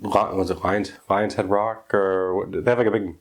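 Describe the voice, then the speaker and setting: Low-voiced man hosting. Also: steady tone, moderate pace. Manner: hesitant, stumbling questions, laughs. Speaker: podcast host. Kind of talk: casual interview chat.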